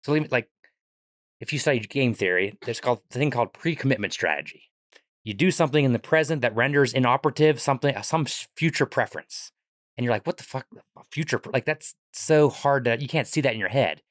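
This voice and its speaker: A man with a Pittsburgh accent, a guest on a podcast, explaining ideas quickly and animatedly and swearing casually. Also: medium pitch, dry recording, studio quality. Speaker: guest, economics professor and comedian.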